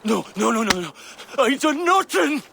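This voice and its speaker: Panicked game peasant, creaky gravelly voice, stammering, pleading, rustic, noisy backdrop. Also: male voice, very high-pitched, big pitch swings, normal speed, full character voice. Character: frantic, pleading male poisoner-accuser peasant. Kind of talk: video game voice acting.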